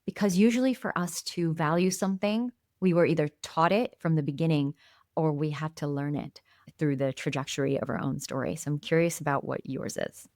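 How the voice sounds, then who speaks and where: A woman in her thirties hosting an interview podcast, speaking reflectively and choosing her words deliberately, with repetitions for emphasis. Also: low voice, darkish tone, no echo, studio quality. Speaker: podcast host.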